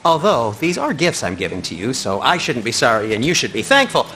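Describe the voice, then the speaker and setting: Fussy, bright-voiced game character. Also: male voice, medium pitch, sing-song, fairly quick. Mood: fussy, haughty. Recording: game dialogue over music.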